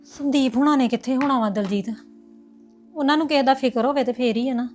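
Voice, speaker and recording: A woman's voice: high voice, fast talker, a little mellow, soft background music, lightly acted. A woman playing a resentful sister-in-law who complains and speaks sarcastically in a family drama. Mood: sarcastic. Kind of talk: domestic drama acting.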